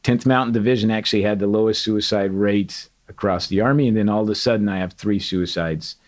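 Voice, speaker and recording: A middle-aged man from Portugal, a retired sergeant major, telling candid stories in an interview with an earnest, animated manner. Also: fairly low pitch, brisk. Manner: earnest, animated, quotes others in dialogue. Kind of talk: candid storytelling.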